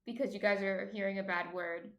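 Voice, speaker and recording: A woman's voice: mid-range voice, steady tone, a little fast, a bit bright, roomy sound. Chatty woman with a slight Jersey accent. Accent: New Jersey. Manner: chatty, thinks aloud while cooking. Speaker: baking livestream host. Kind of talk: casual chat reading viewer comments.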